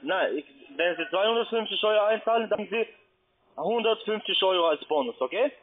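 Over a thin, band-limited phone connection comes a high-voiced man with a foreign accent. He works as a call centre agent, pitching off the cuff in non-native speech. He is insistent and halting, often repeating his words. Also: expressive, narrow, tinny audio, slight background noise. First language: not German. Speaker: call centre agent posing as customer adviser. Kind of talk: unscripted phone sales pitch.

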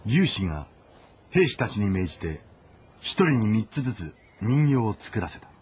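A man's voice: on the low side, big pitch swings, moderate pace, a little mellow, slightly rough. A man narrating a historical game story in a dramatic, scripted style.

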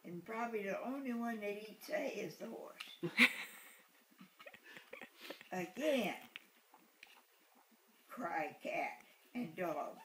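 A woman's voice: medium pitch, animated, slow pace, long pauses. An elderly woman in her eighties reading a picture book aloud to a small child, playfully repeating words and adding asides. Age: 80s. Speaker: great-grandmother reading to a baby. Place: living room sofa, indoors. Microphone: handheld camera a short distance away. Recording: roomy home recording with background noise.

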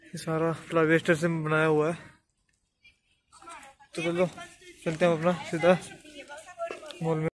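Young guy vlogging on his phone, chatty, kinda monotone, slightly high voice. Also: a little slow, pauses often. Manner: chatty, addresses viewers as guys. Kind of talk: casual travel vlog narration. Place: outdoors by the sea, then indoors at home.

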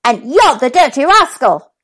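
A big bad storybook egg who thinks he is king, voiced by a woman reader. He is bossy and boastful, taunting in a high, animated voice. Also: normal speed.

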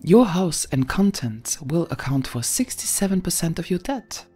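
A woman voicing a calm, bureaucratic government consul in an audio story, with an even, polite, detached tone and a husky voice. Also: lowish voice, normal speed, faint music behind, voice pushed up.

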